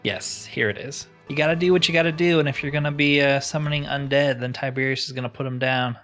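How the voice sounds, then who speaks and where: A man's voice: mid-range voice, fast talker, a bit bright, soft background music. A man giving casual let's play commentary, chatty and informal as he thinks aloud. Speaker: gaming channel host.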